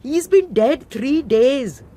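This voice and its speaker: A sly, gossiping servant. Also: female voice, high voice, animated, takes it slowly, mellow. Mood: teasing. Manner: mocking, gossipy. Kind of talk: radio drama acting.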